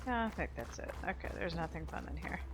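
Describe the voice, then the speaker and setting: A woman's voice: lowish voice, animated, brisk, background noise. A woman giving casual gameplay commentary, musing aloud in folksy, informal phrasing. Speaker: gamer, let's play host. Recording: game music behind voice.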